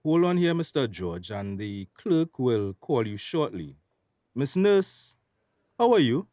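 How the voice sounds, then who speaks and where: A man playing a polite doctor in a radio drama, with a Tobagonian accent and a measured, formal manner. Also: medium pitch, sing-song, warm tone. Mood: courteous. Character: polite, formal doctor delivering grave news.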